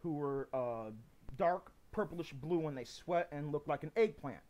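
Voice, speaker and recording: A man's voice: fairly high pitch, a little slow, darkish tone. A man with a New York accent rambling emphatically to camera in a casual home video. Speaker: vlogger.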